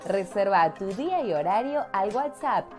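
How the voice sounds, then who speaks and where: A woman's voice: mid-range voice, expressive, moderate pace. An Argentine woman announcer reading a scripted radio promo. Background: light music.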